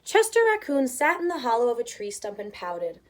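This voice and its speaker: A woman reading a picture book aloud to children as an expressive storyteller. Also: on the high side, a little fast.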